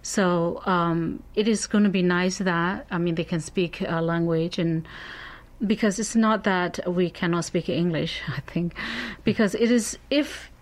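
A woman from Burma with a Burmese accent, speaking earnestly as an interview guest and pausing often to find her words. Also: fairly low pitch. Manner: earnest, searching for words, many "you know" fillers. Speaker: interview guest, community advocate. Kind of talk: conversational interview.

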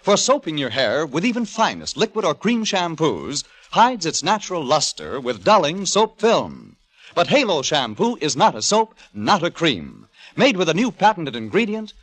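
A man's voice: fairly high pitch, very animated, normal speed. A man announcing a 1950s radio commercial with an emphatic, polished salesman's delivery. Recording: 1950 radio broadcast.

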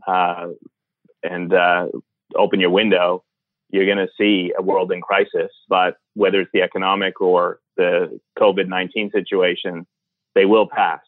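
Man, low voice, dry, phone-like sound, thoughtful, frequent fillers. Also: flat delivery, unhurried, dry recording. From Iran, raised in Canada. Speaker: guest. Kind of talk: remote interview answers. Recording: tinny phone-like line.

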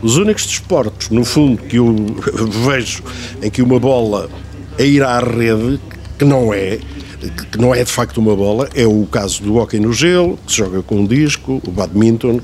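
Veteran male broadcaster played by an actor, European Portuguese, mid-pitched, easily offended, pedantic and rambling, for radio comedy. Noisy room sound. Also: animated, normal speed, a little mellow, natural voice. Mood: nitpicking. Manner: pedantic, nitpicking, digressive. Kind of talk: comedic radio drama dialogue. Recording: clearly noisy, room ambience.

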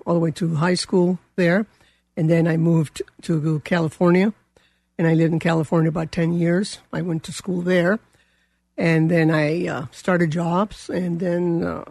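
An older woman with a Colombian accent, speaking as a guest. Her voice is gravelly and a little husky, and she wanders through her story with plenty of fillers. The recording is close and dry. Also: fairly low pitch, darkish tone, no room sound. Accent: Colombian Spanish. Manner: rambling, many fillers and restarts. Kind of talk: casual interview.

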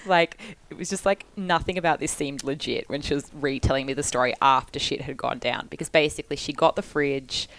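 A woman's voice: sits low, steady tone, a little fast, few pauses, a bit bright, rough recording. An Australian woman co-hosting a podcast, chatty and laughing a lot as she tells stories, with many fillers. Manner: chatty storyteller, lots of "like", laughs often. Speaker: podcast co-host. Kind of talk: casual chat.

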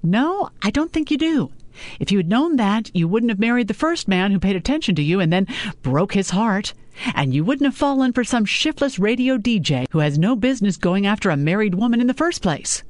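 Woman voicing a lecturing mom, sharp and insistent, raised pitch, storybook reading. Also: very animated, a little fast, no room sound, studio quality, close to an everyday voice. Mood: scolding. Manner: scolding, pushy, talks over her daughter. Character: stern, lecturing middle-aged mother, nagging.

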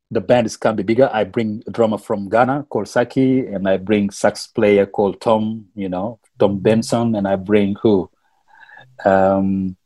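Mellow-voiced Senegalese man, halting and laughing. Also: mid-range voice. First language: Wolof. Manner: halting, repeats words, laughs often. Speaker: musician guest. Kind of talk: casual interview storytelling.

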